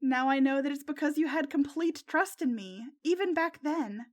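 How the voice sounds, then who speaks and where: Lady doing high voiced teen girl bestie, excitable fangirl type, gushy, story narration. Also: normal speed, close to an everyday voice. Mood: sincere. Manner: gushing, squealing when excited. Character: excitable, loyal teenage girl, gushing superhero fangirl.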